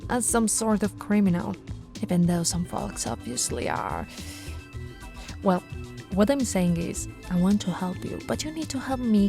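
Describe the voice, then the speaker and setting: A woman playing a patient, teasing policewoman in an intimate ASMR roleplay, talking in a soft, coaxing, conversational way. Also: medium pitch, natural voice. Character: flirty, patient, teasing policewoman reassuring a suspect.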